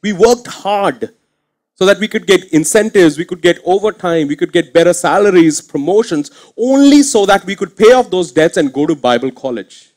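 Man, thirties, pastor, sermon, slightly high voice, headset mic, church stage. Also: expressive, normal speed. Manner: earnest preaching, swings from quiet to emphatic. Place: church stage with curtain backdrop.

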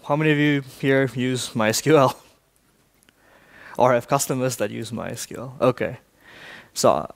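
A man's voice: medium pitch, vocal fry. Man from Malaysia giving a conference talk. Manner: brisk, quizzes the audience often. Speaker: conference speaker. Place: large conference hall.

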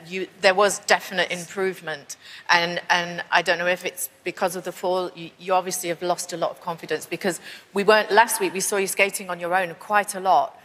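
Woman, TV judge giving feedback, slightly low voice, long room reverb. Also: a bit bright. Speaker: competition judge. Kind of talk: spoken critique on live TV. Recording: reverberant large hall.

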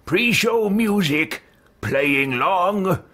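A man's voice: sits high, animated, pushed and bright. A man singing a homemade jingle in a playful, comic way, drawing out and belting the words. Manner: silly, drawn-out, belting.

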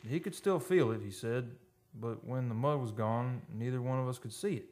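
An actor playing a folksy, uneasy oil-rig worker telling an eerie tale in old-time radio drama style, halting and ungrammatical. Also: male voice, mid-range voice, darkish tone, natural voice. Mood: haunted, hushed. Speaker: actor narrating a radio play. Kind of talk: old-time radio drama acting, first-person storytelling. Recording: live radio studio with music behind.

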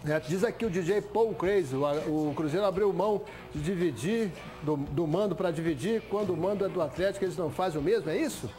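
A middle-aged man from hosts a studio sports chat, speaking in a loose, conversational way with a slightly raised pitch. He is recorded on a lapel mic, with soft music playing underneath. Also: fairly quick, few pauses. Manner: conversational, frequent "né?" tags. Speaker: sports show host. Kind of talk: studio sports talk, reading viewer messages. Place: TV studio, standing.

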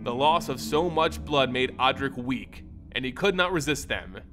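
A man giving a dramatic scripted narration of a fantasy tale in a theatrical, expressive manner. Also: mid-range voice, moderate pace, over music. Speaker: storyteller.

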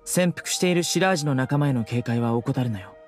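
An actor playing a stern, dutiful but considerate guard officer in an anime game, firm, terse and commanding. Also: male voice, mid-range voice, animated, fairly quick, soft background music, close to an everyday voice. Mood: firm, businesslike. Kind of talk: anime video game voice acting.

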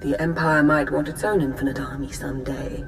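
An actress voicing a composed female intelligence agent in a video game, measured and poised. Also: low voice, steady tone, vocal fry, a little hiss or hum. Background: game music.

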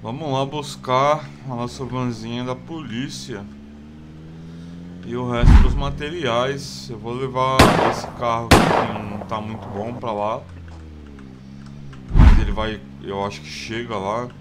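A man's voice: medium pitch, fairly even intonation, measured pace, very noisy. A man with a Brazilian Portuguese accent casually commenting on his survival game, rambling with many fillers. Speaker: gameplay video host. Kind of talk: casual let's play commentary.

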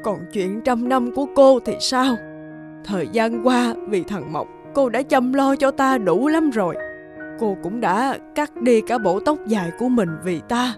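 Grieving old mother, high-pitched. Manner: plaintive, halting, repeating herself. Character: grieving, confused elderly peasant mother searching for her son. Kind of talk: animated folk tale voice acting. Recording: music behind the voice.

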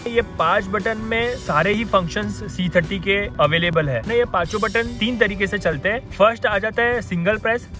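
Male Indian-accented motovlogger, higher register, step-by-step how-to delivery over music. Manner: explanatory, mixes English terms into Hindi. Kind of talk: tutorial vlog to camera and voice-over. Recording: music behind the voice. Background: background music.

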